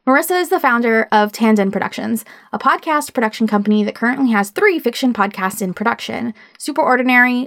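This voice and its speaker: A woman's voice: on the high side, fairly bright tone. A woman hosting a podcast, introducing and conducting an interview.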